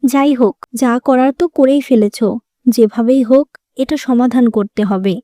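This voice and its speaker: Cartoon role: worried but supportive sister-in-law played by a woman, high voice, soft tone, dry recording. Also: normal speed, no room sound, lightly acted. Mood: worried, resigned. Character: concerned, helpful elder sister-in-law. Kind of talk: dramatic cartoon dialogue.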